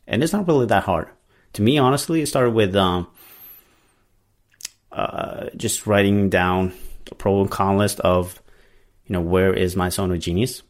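A man's voice: low-pitched, a little slow, pauses often. A man hosting a podcast in English, which is not his first language, talking casually in a rambling way with many fillers and restarts. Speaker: podcast host. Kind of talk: casual solo monologue.

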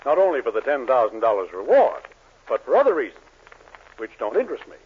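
An actor playing a scheming, greedy shopkeeper in a 1940s radio sitcom, fussy, insistent and sly. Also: male voice, mid-range voice, sing-song, fast, slight background noise, close to an everyday voice. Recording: dull 1940s radio broadcast.

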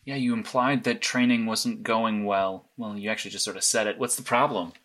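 A man's voice: on the low side, fairly even intonation, fairly quick, fairly bright tone, close to an everyday voice. A man acting as a matter-of-fact, analytical vigilante in an audio drama, clipped and insistent. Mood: blunt, probing. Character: blunt, analytical, sleepless male vigilante mastermind. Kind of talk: naturalistic audio drama dialogue.

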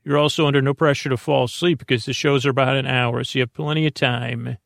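Creaky-voiced man, halting and meandering. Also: medium pitch, steady tone, dry recording, clean studio sound. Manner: meandering, halting, stammering and repeating words. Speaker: host of a sleep podcast. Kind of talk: rambling bedtime monologue.

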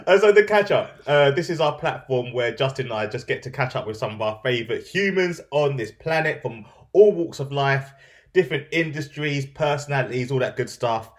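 A man's voice: medium pitch, lively intonation, a little fast. Chatty man, slangy podcast host. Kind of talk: casual banter.

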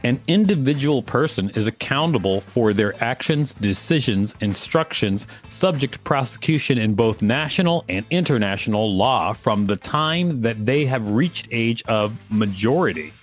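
A man's voice: mid-range voice, lively intonation, normal speed, hardly any pauses, faint music behind. A man co-hosting a podcast, reading an article aloud with a dry, wry delivery.

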